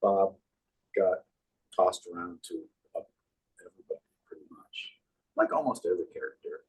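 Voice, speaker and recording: A man with a low, distinctly husky voice talks casually as an interview guest. He reminisces like an animated storyteller, in a loose conversational style. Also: moderate pace, low-quality recording. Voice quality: deep, husky. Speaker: guest, puppeteer.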